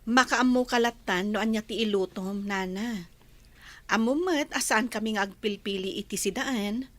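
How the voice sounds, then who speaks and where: An actress playing a brisk young lady of the house, a doctor, in a radio drama, breezy and familiar. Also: medium pitch, a bit bright, lightly acted. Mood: brisk, friendly.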